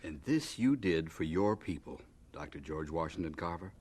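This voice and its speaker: An actor playing a dignified, admiring narrator who talks with the hero of a biographical radio drama. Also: male voice, sits low, animated, moderate pace, a little mellow, breathy edge. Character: dignified, admiring male narrator questioning an old professor. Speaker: narrator and interviewer.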